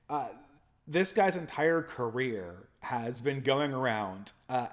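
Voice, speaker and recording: A man with a nasal voice and a speech impediment, hosting a casual commentary monologue full of restarts and repeated words. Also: mid-range voice. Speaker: channel host.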